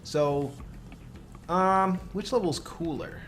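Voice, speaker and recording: A man's voice: sits high, slow, a bit bright. A man giving casual, explanatory game commentary as a host guiding another player. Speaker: gameplay host and guide. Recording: game music behind.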